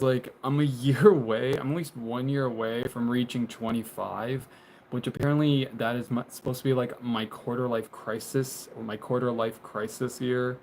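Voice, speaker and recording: A man's voice: medium pitch, darkish tone, a little hiss or hum, low-quality recording. Rambling young man streaming from a closet. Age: 20s. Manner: rambling, many fillers and restarts, laughs often. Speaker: live streamer. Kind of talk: casual live stream chat, reading viewer comments. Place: small bedroom closet, indoors. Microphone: phone on tripod.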